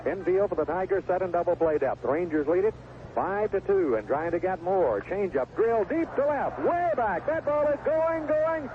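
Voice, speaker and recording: A man's voice: sits high, lively intonation, moderate pace. A man calling live baseball play-by-play on the radio in a folksy, colorful way, recorded at the ballpark. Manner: folksy, colorful turns of phrase. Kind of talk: live play-by-play sports commentary. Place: outdoor ballpark broadcast booth. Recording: tinny, noisy 1970s AM radio broadcast.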